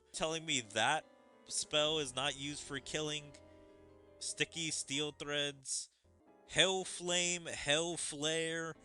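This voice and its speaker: A man's voice: fairly high pitch, pushed and bright, clear, resonant tone. A man streaming a game, reading story dialogue aloud expressively and adding his own commentary over game music. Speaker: gaming streamer.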